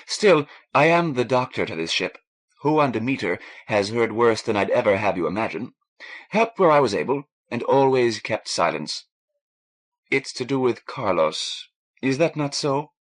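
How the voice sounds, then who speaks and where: A man voicing a warm, frank Irish woman, a ship's doctor, in a lilting, coaxing manner for an audiobook. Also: mid-range voice, sing-song, moderate pace. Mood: soothing, probing.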